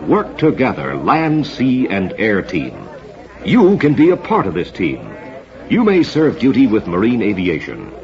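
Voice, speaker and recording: An American man announcing on old-time radio with a scripted, emphatic, polished delivery. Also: fairly low pitch. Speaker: radio announcer and theater program host. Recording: dull vintage radio recording, audience noise.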